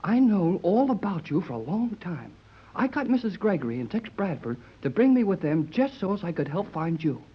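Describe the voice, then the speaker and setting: Brash American lad, earnest and slangy, swooping husky voice, vintage radio serial, muffled sound. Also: male voice, high-pitched, sing-song, moderate pace, exaggerated voice. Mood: eager, boyish. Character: eager, brash American teenage boy. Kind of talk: 1930s radio serial acting. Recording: muffled, worn 1930s radio recording.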